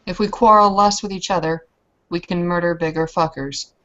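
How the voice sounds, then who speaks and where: A woman's voice: fairly low pitch, fairly even intonation, moderate pace, a bit bright, dull audio. A woman chatting casually as a player in a roleplaying game.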